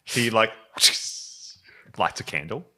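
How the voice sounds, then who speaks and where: A man's voice: fairly low pitch, animated, takes it slowly, background noise. A man narrating an improvised comedy tabletop game as game master, in a casual, descriptive, joking way.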